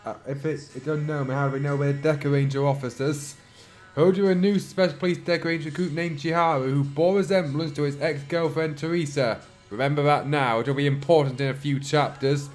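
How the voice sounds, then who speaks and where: A man with a sore throat who reads fan fiction aloud, breaking off for dry, mocking asides and frequent throat clearing. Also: a little high, steady tone, a little fast, a little mellow, faint music behind. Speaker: channel host reading fan fiction. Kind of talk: dramatic reading with sarcastic commentary.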